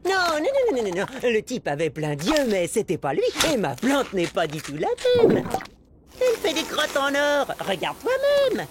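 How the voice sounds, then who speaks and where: Boastful, high-pitched cartoon boy. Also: wildly swooping pitch, moderate pace, heavy background noise. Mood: panicked, defensive. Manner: cocky, exclamatory, dramatic. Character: boastful, excitable young boy microbe. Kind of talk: animated cartoon dubbing. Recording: loud music behind the voice.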